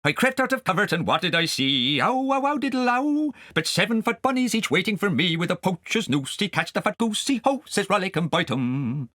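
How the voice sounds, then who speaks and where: A man voicing a sly, genial fox in a storybook, with hearty old-fashioned gentlemanly patter. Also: high-pitched, big pitch swings, a little fast, few pauses, dry recording, studio quality, pitched above the performer's own voice, exaggerated voice. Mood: gleeful, sly. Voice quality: unpleasant singing voice. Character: sly fox, genial bachelor host and gleeful poacher.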